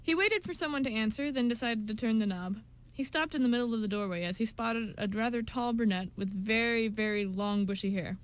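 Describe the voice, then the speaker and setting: High-voiced woman narrating a story. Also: fairly quick, rough recording. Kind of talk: scripted story narration for amateur radio play.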